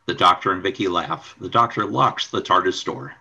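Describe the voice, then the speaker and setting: A man's voice: low-pitched, moderate pace, vocal fry, bright, forceful tone, husky, highs cut off. A man reading scripted scene narration for a radio play. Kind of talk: scripted narration of stage directions.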